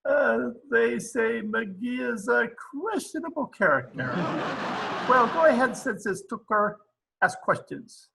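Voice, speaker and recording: Radio comedy role: jolly, slow-witted Swedish immigrant, slow delivery with big swoops in pitch. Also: male voice, lively intonation, vocal fry, noisy background, exaggerated voice. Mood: jolly, joking. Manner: halting, broken English, chuckling at own jokes. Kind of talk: old-time radio comedy acting.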